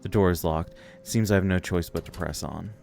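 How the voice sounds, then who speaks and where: Very deep-voiced man narrating a game. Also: brisk, vocal fry, a little mellow. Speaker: streamer reading a game's text aloud. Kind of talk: let's play narration, reading dialogue aloud. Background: game music.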